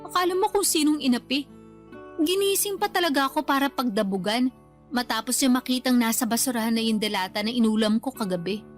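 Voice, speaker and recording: High-voiced sulky young daughter played by an actress. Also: female voice, animated, fairly quick, lightly acted. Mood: aggrieved. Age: young adult. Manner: whiny, defensive, respectful to her mother. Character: aggrieved grown daughter sulking over a lovers' quarrel. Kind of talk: radio drama dialogue. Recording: music under the voice. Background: music.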